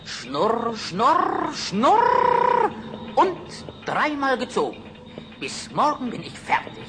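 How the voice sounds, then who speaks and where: A man playing a sly, gleeful little dwarf with a squeaky, cackling, chanting delivery in an old fairy-tale radio play. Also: huge pitch swings, slow, full character voice. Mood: excited chanting. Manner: chanting, swooping, teasing. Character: sly, gleeful little male dwarf imp, wicked bargainer. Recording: noisy old record.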